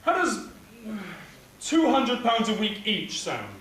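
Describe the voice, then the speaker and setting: A gleefully exploitative panto villain, high and swooping in pitch. He is mock-polite and taunting, projecting theatrically to the house. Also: huge pitch swings, slow pace, fairly bright tone, heavily acted. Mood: sly, taunting. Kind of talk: theatrical pantomime stage acting. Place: theatre or hall stage, live performance. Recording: echoey hall stage recording, faint noise.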